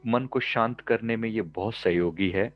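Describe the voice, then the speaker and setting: A man leading a guided meditation as a teacher, giving calm, measured instructions in short phrases with pauses between them. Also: on the low side, dry recording, dull audio. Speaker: meditation teacher and life coach.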